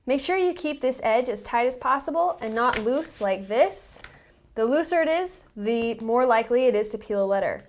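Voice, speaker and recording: A woman narrating a step-by-step how-to tutorial as an instructor, patient and instructive, stressing the key tips. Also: fairly high pitch. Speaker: instructor and company representative in how-to videos.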